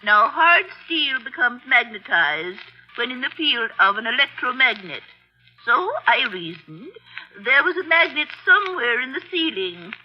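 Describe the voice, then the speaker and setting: A woman's voice: on the high side, sing-song, measured pace. A woman playing a shrewd elderly spinster who patiently and precisely explains a crime in a 1940s radio mystery. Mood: patient explaining. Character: shrewd, composed elderly spinster sleuth, retired physics teacher. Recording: muffled old-time radio recording.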